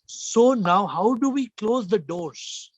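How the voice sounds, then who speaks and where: High-voiced man teaching over a video call. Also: animated, slow, breathy edge, no echo. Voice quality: slightly low, subdued voice today. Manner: emphatic, repeats phrases, questions listeners. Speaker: spiritual teacher hosting a call. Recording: muffled video call audio.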